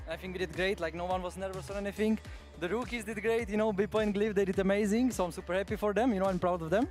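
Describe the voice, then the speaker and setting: Man, high voice, pro player, stage interview, music behind. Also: fairly even intonation, racing. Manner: loose, laughing, leans on "you know". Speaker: pro player giving a post-match interview. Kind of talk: post-match stage interview. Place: on stage in an arena.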